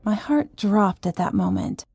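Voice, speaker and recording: A woman's voice: medium pitch, huge pitch swings, a little slow, vocal fry, darkish tone, slight background noise. A woman reading a scripted first-person ghost story as a narrator.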